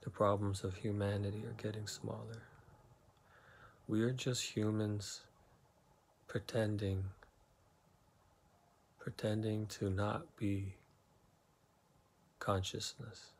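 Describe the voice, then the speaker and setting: Male meditation guide, very slow delivery with long pauses, lowish pitch, soothing. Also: level tone, vocal fry, darkish tone, a little hiss or hum.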